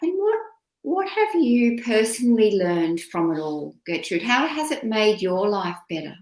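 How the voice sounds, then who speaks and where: A woman's voice: medium pitch, very animated, measured pace, darkish tone. A woman hosting an interview, asking encouraging questions with fillers and restarts. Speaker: interview host. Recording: roomy, echoey room sound.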